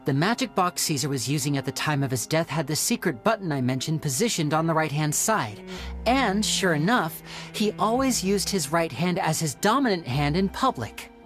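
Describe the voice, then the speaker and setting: An actor voicing a young male stage magician in a video game, smooth, theatrical and explanatory. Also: a little high, sing-song, normal speed. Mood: deducing. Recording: game audio with soft music.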